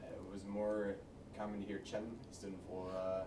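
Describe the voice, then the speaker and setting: A male interviewer giving quiet, brief prompts and follow-up questions. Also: low-pitched, monotone, normal speed, noisy background. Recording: roomy sound, distant from the mic.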